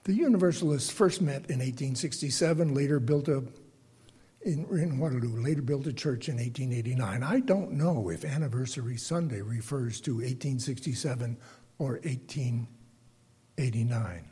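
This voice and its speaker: An older man with a bass singing voice gives a reflective, prepared lay sermon to a congregation, speaking deliberately and haltingly with pauses. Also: dark tone, husky. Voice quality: self-described bass voice.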